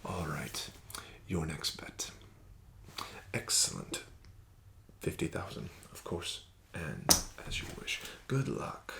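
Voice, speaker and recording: A man hosts an ASMR casino roleplay as a flattering dealer. His voice sits very low with a gravelly edge, and he talks slowly with a lively, rising and falling lilt. A steady hiss runs under the recording. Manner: smooth, flattering, unhurried dealer patter.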